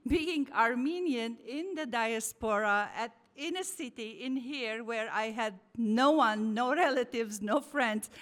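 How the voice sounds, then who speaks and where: A woman's voice: fairly high pitch, a bit bright. A woman from Lebanon giving an earnest, heartfelt address at a community lodge meeting in a church hall. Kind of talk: formal address. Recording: hall reverb.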